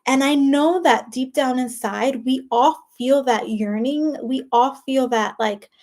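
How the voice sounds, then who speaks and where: A woman's voice: sits high, measured pace, darkish tone. A woman with a Spanish accent giving a casual motivational monologue as a life coach, chatty and emphatic, full of "like" and "right?". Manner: emphatic and preachy.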